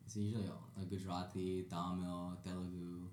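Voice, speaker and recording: A man's voice: sits low, flat delivery, darkish tone, a little hiss or hum. Young man, casual chat, mic far away, echoey room. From Mississippi. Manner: quick interjections, teasing. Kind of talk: casual chat among friends. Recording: distant mic, echoey room with chatter behind.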